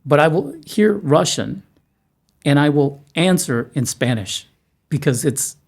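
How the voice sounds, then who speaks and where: A man's voice: on the high side, lively intonation, measured pace, gravelly. A man hosting a podcast interview, talking in a chatty, self-deprecating way with frequent restarts. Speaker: podcast host. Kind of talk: casual interview chat. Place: studio room in Moscow.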